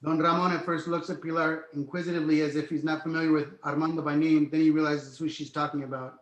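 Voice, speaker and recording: A man's voice: fairly high pitch, little pitch movement, fast, reverberant, lo-fi sound. A man narrating a screenplay's stage directions at a table read, in present tense.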